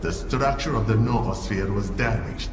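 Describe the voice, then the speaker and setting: An actor playing a calm collective mind of merged scientists in a video game, speaking formally and with lofty assurance. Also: male voice, on the low side, unhurried, noisy background. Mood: lofty, assured. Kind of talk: video game cutscene monologue. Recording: echoey hall, distant, music behind.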